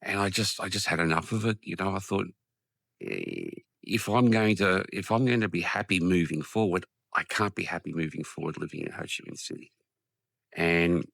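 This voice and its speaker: An Australian man with a croaky voice from a cold, talking casually in a rambling vlog with many ums and restarts, outdoors in a quiet park. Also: low-pitched, no room sound, studio quality. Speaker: vlogger. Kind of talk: casual vlog talk to camera.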